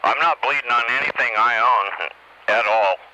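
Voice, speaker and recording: A man's voice: mid-range voice, normal speed, bright, forceful tone, highs cut off. Laid-back American man on noisy CB radio. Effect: CB radio transmission. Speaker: CB radio operator. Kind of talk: casual radio chat. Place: radio setup at home. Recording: noisy CB radio with clear static.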